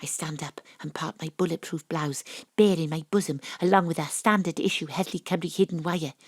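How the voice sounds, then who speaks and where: A woman playing a cool, wry private detective in an audio drama, narrating and talking in a measured, theatrical way. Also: low-pitched, fairly even intonation, no room sound, studio quality. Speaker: lead actor and narrator.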